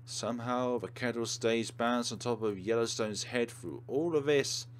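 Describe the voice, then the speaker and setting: A man's voice: mid-range voice, normal speed. Man reading game narration, faint noise behind. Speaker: let's play host. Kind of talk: reading game narration aloud with casual commentary.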